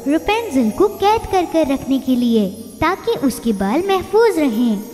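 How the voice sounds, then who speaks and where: A woman's voice: high voice, very animated, measured pace, mellow, in a large hall, soft background music. A woman narrating a scripted children's fairy tale as storyteller.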